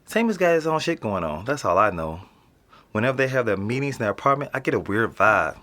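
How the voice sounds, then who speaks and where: A man's voice: lowish voice, very animated, fast, sharp tone. An actor playing a wary young male tenant who talks casually, swears and sounds uneasy. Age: young adult. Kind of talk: audio drama acting.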